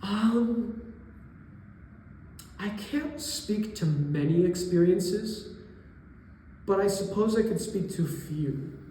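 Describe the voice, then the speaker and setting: A young man in his twenties, a college student from New York, answering interview questions thoughtfully and pausing to find his words. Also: high-pitched, dark tone, a little hiss or hum. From New York area, US. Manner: thoughtful, pauses to search for words. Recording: echoey room with long reverb.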